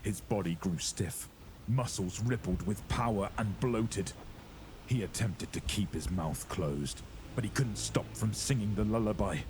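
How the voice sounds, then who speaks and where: A man's voice: fairly low pitch, unhurried, background noise. A man narrating a horror audio drama in a grave, ominous, scripted dramatic storytelling style.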